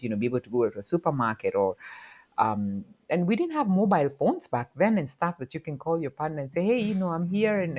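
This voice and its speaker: A middle-aged woman from Kenya, speaking as a podcast guest in a reflective, halting way full of fillers. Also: deep voice, a little mellow. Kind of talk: casual interview talk.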